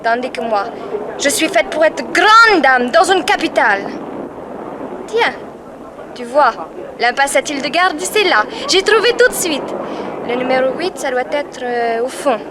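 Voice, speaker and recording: A woman's voice: high-pitched, expressive, a little slow, background noise. An actress playing a bold, proud eighteen-year-old Russian immigrant girl in a 1960s French radio drama, with a cheeky, self-assured manner. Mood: excited, boastful.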